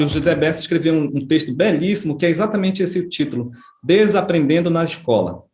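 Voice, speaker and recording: A middle-aged Brazilian man telling his life story in an unhurried, reflective way, often adding small tag questions. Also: a little high, a little mellow. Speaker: interviewee, writer and history professor. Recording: echoey room.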